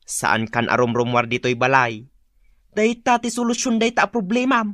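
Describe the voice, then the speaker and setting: A man's voice: medium pitch, fairly even intonation, moderate pace, a bit bright, dry recording, studio quality, close to an everyday voice. A man acting an exasperated, teasing village husband with an Ilocano accent in a comedy radio drama. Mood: reasoning, teasing.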